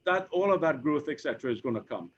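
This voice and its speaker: A Trinidadian man in his fifties, an insurance executive speaking on a panel, who talks haltingly with many repeated words and fillers. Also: a little high, expressive, slightly rough. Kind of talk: conversational panel discussion. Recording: dull lo-fi recording.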